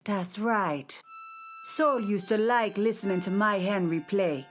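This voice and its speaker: A woman playing a gentle elderly widow in a nursing home in a radio play, with homespun talk. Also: medium pitch, takes it slowly, natural voice. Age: older. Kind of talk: radio drama acting. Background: music.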